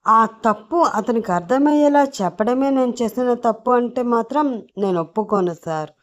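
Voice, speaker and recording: A woman's voice: lowish voice, animated, moderate pace, a little mellow, dull audio, close to an everyday voice. A woman voicing a stubborn young office assistant who argues back indignantly. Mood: defiant. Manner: indignant, argumentative.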